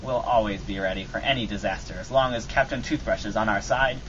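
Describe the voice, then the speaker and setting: A man's voice: on the low side, fast talker, lightly acted. A man playing an earnest, hapless airship officer with stagey self-assurance in an amateur old-time radio comedy. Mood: confident. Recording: dull, noisy amateur radio recording.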